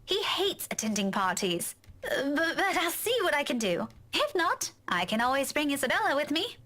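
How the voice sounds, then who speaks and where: A woman's voice: high-pitched, big pitch swings, normal speed, pushed and bright, full character voice. A woman playing a dutiful young adult daughter in a visual novel, casual and breezy in manner. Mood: playful. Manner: casual, hesitant at times, playful.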